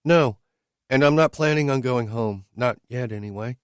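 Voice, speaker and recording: A man's voice: medium pitch, moderate pace, clear, resonant tone, dry recording, studio quality, pitched above the performer's own voice, natural voice. A man voicing a blunt, direct young woman in her late twenties, speaking in a raised voice for her. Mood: questioning. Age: late 20s. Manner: blunt, straight to the point.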